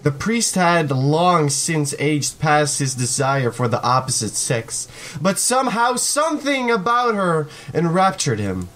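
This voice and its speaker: A man hosting a gaming video, reading a visual novel aloud in a theatrical, expressive way. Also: on the high side, unhurried.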